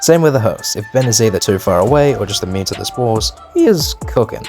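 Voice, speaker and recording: A man's voice: fairly low pitch, lively intonation, a little fast, darkish tone. A man delivering scripted but casual, opinionated video commentary, full of informal slang and swearing. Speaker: video narrator and host. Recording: music behind the voice. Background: background music.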